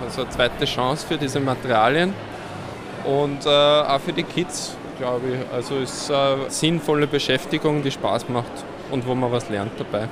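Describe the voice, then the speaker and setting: A man describing a youth workshop in a relaxed, hesitant way with many fillers. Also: medium pitch, normal speed, noisy background. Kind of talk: interview answers. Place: busy indoor fair hall.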